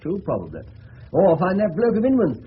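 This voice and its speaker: Police colonel, English. Higher male voice, clipped, courteous, swooping; muffled radio play recording. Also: huge pitch swings, fairly quick. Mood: brisk, dry. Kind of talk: 1970s radio drama acting. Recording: muffled, noisy old radio broadcast.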